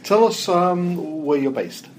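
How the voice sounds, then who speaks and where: A man's voice: high-pitched, lively intonation, takes it slowly, a little mellow, soft background music, rough recording. A man hosting a podcast, asking short friendly questions and nudging his guest along. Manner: friendly, brief prompts and backchannels. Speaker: podcast host. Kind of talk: podcast interview.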